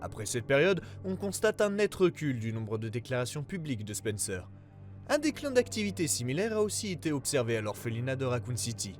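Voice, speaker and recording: A man playing a government investigator who reads out a formal case report in a serious, measured way, as scripted narration. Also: medium pitch, big pitch swings, faint music behind, natural voice. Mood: grave, formal.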